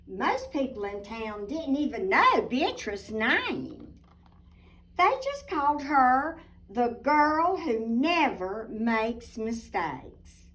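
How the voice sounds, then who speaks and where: A woman reading a picture book aloud expressively, deliberate and emphatic, with little asides to young listeners. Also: a little high, a bit bright. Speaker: children's storybook reader, teacher. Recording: distant microphone.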